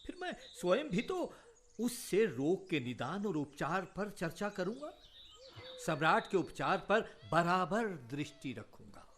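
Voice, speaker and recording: An actor playing a proud, courteous senior royal physician in a Hindi historical radio drama, speaking formally and deliberately. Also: male voice, high voice, big pitch swings, a bit bright, a little hiss or hum, heavily acted. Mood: reassuring, earnest.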